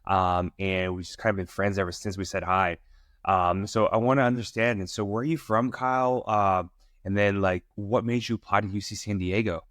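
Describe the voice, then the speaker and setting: A man's voice: lowish voice, level tone, normal speed, fairly bright tone, no echo, studio quality. A young man hosting an interview, friendly and enthusiastic, often calling his guest "man". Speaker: host. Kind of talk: casual interview talk.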